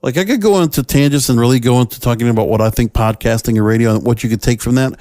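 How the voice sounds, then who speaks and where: A man's voice: medium pitch, fast talker, a little mellow, dry recording. Man hosting a podcast, dry close sound. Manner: conversational, emphatic, addresses listeners directly with rhetorical questions. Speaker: podcast host. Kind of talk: opinionated solo podcast commentary.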